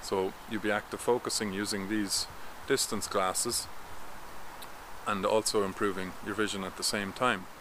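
A man's voice: lowish voice, moderate pace, noisy background. A man in his thirties, a vision coach, explaining things step by step in a talking-head vlog recorded outdoors in a garden with a camera at arm's length. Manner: steady, instructive, explaining step by step.

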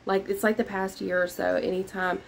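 A woman's voice: mid-range voice, flat delivery, normal speed, gravelly, a little hiss or hum. A woman in her thirties chatting casually while cleaning, rambling with many fillers, her voice worn after being sick. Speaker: vlogger. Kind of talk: casual cleaning vlog chat. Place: small bedroom in a mobile home. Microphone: phone held at arm's length.

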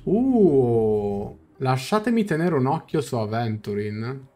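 A young Italian man, a game streamer, casually reacting and translating dialogue aloud in a halting way, often rephrasing. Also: medium pitch, very animated, mellow. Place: small indoor room. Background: faint game music.

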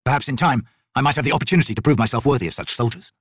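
A man's voice: mid-range voice, racing, breathy edge, no echo, studio quality, natural voice. A man voicing a young noble-born army captain in a fantasy audiobook, formal, guarded and restrained.

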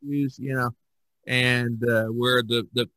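A middle-aged man chatting casually as a podcast host, rambling and halting as he searches for words. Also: mid-range voice, flat delivery, pushed and bright, dry recording. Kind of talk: casual chat.

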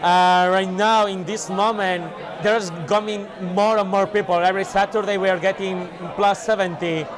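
A man with a Spanish accent talks casually in a high-pitched voice, feeling for his words as he goes. He wears a lapel mic in a busy venue, with clear crowd chatter behind him. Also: flat delivery, moderate pace, few pauses. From Spain. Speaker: meetup organiser. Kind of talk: casual interview answers. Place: large busy indoor venue. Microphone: clip-on lapel.

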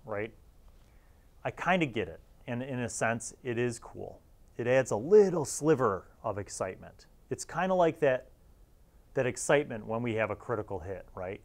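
A middle-aged man hosting a casual, opinionated review, speaking bluntly and conversationally, often checking in with a tag question. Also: medium pitch. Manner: conversational, blunt, often ends phrases with "right?". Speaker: host. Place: small dark indoor studio. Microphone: lapel mic.

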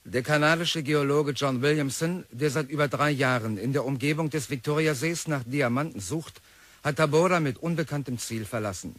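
A man's voice: on the high side, moderate pace, a bit bright, pitched above the performer's own voice. A man reading out a formal newspaper report in a radio drama. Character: male newspaper report read aloud.

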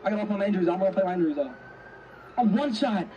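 A man playing a roleplay game on stream, excitable, slangy and profane, acting in character. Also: high-pitched, rapid-fire, distant mic, highs cut off, a little hiss or hum.

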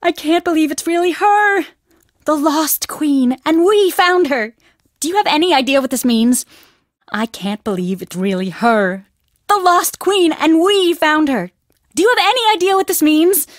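Skittish young woman student, bright and a touch high, anxious game character. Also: lively intonation, no room sound, clean studio sound. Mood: thrilled. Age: young adult. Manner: anxious, fretful, quick to panic. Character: jittery young woman student, superstitious and nervous. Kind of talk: video game dialogue.

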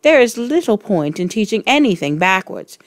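A woman's voice: medium pitch, very animated, normal speed, no room sound, clean studio sound, voice pushed up, close to an everyday voice. A woman voicing a principled schoolteacher in a storybook, earnest, polite and firmly defiant.

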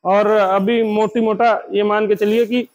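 A man's voice: high voice, flat delivery, a little slow, dry recording. A man who runs a dairy farm, earnestly explaining each cow's traits to a visitor, mixing in English farm terms. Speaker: dairy farm owner selling cows. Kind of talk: sales pitch walkthrough.

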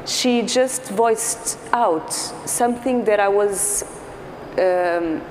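A woman in her thirties from Portugal with a Portuguese accent, giving an earnest conference talk as a psychologist, pausing often with fillers. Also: mid-range voice, darkish tone. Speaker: clinical psychologist speaker. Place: large dark stage. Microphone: lapel mic. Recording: large hall with faint noise.

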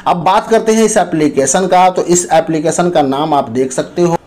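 A man's voice: a little high, normal speed, darkish tone. A man hosting an informal step-by-step app tutorial, instructing viewers and addressing them as friends. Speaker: tutorial host.

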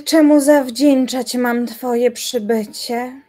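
An actress playing a proud young woman philosopher in an audio drama, trading sharp, sarcastic retorts. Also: fairly high pitch, fairly even intonation, slow, soft background music. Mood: composed. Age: young adult. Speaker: voice actor.

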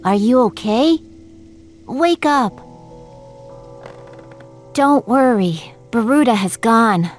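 Earnest little village boy, highish. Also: animated, slow, pauses often, faint music behind. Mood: earnest, friendly. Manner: earnest, youthful. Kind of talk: fantasy game character acting.

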